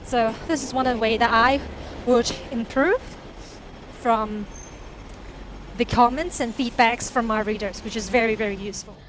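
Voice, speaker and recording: A woman whose first language is Cantonese, chatting casually to a vlog audience in a halting, self-correcting way. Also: fairly high pitch, steady tone, fairly bright tone, a little hiss or hum, poor audio. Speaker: vlogger and novelist.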